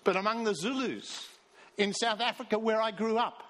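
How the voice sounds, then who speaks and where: Man preaching, high voice. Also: moderate pace, fairly bright tone. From Australia. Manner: storytelling, reading quotes aloud with emphasis. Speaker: pastor. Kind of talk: sermon.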